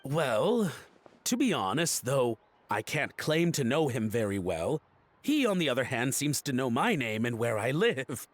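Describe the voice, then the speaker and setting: Theatrical, nervous gentleman collector, wildly swooping voice. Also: medium pitch, sing-song, unhurried. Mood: hesitant, explaining. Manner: flustered stammering and melodramatic pleading. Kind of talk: voice-acted game dialogue.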